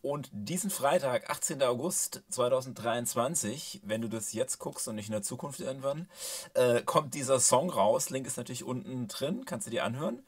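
A man in his thirties hosting a casual talk in his small home studio, explaining things in a relaxed way with many fillers. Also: mid-range voice, normal speed. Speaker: host, mixing engineer. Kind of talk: casual presenting.

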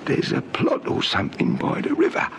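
An actor with a South African accent playing a shifty, eager police informer in a vintage radio drama. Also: male voice, moderate pace, fairly bright tone, lightly acted. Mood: eager, insistent. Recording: noisy old radio serial recording.

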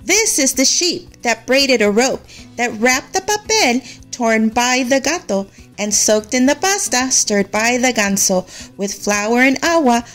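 A woman narrating a children's story in an expressive, measured way, as a read-aloud storyteller. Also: a little high.

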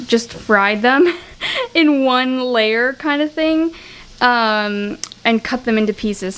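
Chatty young woman, higher voice. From Canada. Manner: chatty, upbeat, with small laughs and ums. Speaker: lifestyle vlogger. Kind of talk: casual kitchen voice-over. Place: home kitchen. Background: soft background music.

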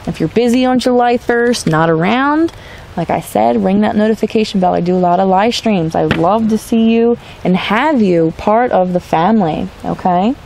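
A woman gives a tarot reading outdoors among trees, her voice gravelly with fry. The tone is mellow and dark, and she speaks in a reassuring, drawn-out way, often checking in with "okay?". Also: medium pitch, a little hiss or hum, low-quality recording. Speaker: tarot reader. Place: outdoors in a forest.

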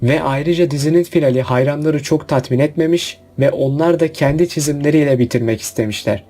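A man's voice: on the high side, a little fast, mellow. A man narrating a scripted countdown review. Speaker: video narrator. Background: background music.

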